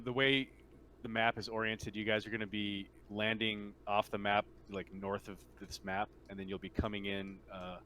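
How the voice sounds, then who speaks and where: Low-voiced man as game master, noisy recording. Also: pushed and bright. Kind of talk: casual tabletop game narration over online play.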